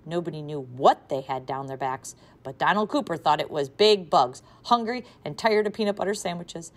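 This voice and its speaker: A woman teacher reading a children's novel aloud to a class, with expressive, varied loudness. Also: low voice, moderate pace. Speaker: third grade teacher.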